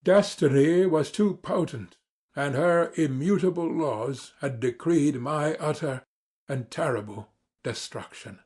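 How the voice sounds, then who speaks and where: A man reading classic literature as a dramatic audiobook narrator, formal, measured and earnest. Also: on the high side, a little mellow, breathy edge.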